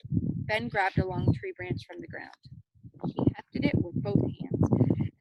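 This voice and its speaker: A woman's voice: very high voice, very animated, measured pace, gravelly, highs cut off, noisy background. A woman reading a children's novel aloud with dramatic, suspenseful narration. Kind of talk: expressive read-aloud narration.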